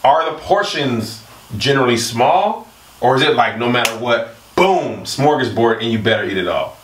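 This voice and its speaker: Joking, expressive man in a roomy room. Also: medium pitch, moderate pace, slight background noise. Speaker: co-host asking questions. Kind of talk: casual panel chat. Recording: roomy, distant mic.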